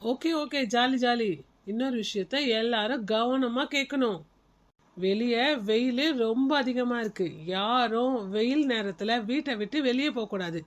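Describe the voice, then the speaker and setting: A woman's voice: on the high side, lightly acted. A woman playing a strict but caring senior schoolteacher in a classroom skit, instructing small children and checking that they agree.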